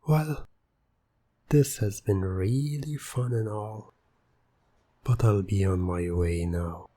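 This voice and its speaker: Man acting a very slow, breathy, smug hypnotist. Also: lowish voice, warm tone. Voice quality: breathy, often dropping to whisper. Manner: slow, deliberate, commanding, taunting. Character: teasing, domineering male hypnotist, smug and in control. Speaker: roleplay audio performer. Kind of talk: ASMR hypnosis roleplay.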